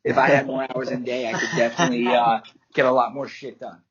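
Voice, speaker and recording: A man's voice: medium pitch, flat delivery, quick pace, dull audio, crowd noise. A man, a fighter and martial arts teacher giving candid, rambling interview answers and swearing casually. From United States.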